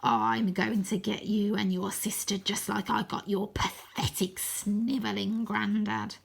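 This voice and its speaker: A woman voicing a gloating male scarecrow villain in a storybook, with a raspy, snarling voice and slow, sneering threats. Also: mid-range voice, level tone. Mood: menacing.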